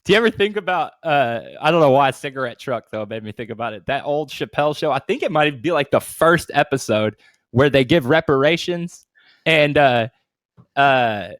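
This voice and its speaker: A man's voice: medium pitch, lively intonation, normal speed, fairly bright tone, no echo, clean studio sound. A man co-hosting a podcast in casual, slangy, profanity-laced banter and stories. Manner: profane, slangy bro talk. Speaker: podcast co-host.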